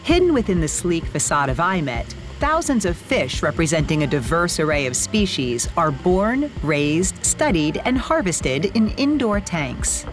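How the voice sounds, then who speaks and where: A woman reading scripted documentary narration in a lively, playful way. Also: fairly low pitch, a little slow, hardly any pauses. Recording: voice-over with music bed.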